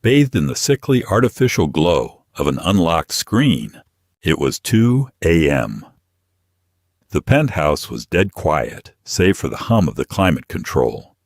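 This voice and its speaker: Deep-voiced male story narrator. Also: no echo, clean studio sound. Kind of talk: dramatic scripted narration.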